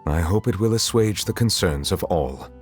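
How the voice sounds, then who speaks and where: An actor playing a reserved, courteous chief justice in a video game, speaking in a formal, measured, introspective way. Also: male voice, low-pitched, animated, faint music behind. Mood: composed, courteous.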